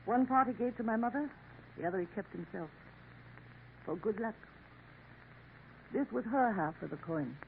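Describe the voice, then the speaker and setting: An actress playing a sorrowful young woman in a 1950s radio drama, gentle and hesitant. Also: medium pitch, animated, normal speed, gravelly, long pauses. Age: young adult. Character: sorrowful young woman working a Paris café. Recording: tinny old radio broadcast, faint hiss.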